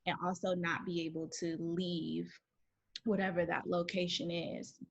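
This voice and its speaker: A woman's voice: lowish voice, flat delivery, unhurried, creaky. A young adult woman from Detroit, an actor, talking candidly and chattily as an interview guest over a video call. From Detroit, USA. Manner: chatty, candid, laughs easily. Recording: dull lo-fi audio.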